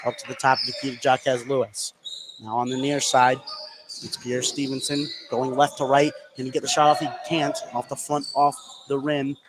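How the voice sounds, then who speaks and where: An American man calling live basketball play-by-play as a radio announcer. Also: medium pitch. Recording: live gym broadcast, clearly noisy background. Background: noisy gymnasium ambience.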